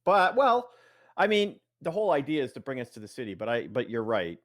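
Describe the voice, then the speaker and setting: Mid-pitched man bantering over a game. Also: very animated, brisk. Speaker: tabletop game player. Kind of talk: casual banter.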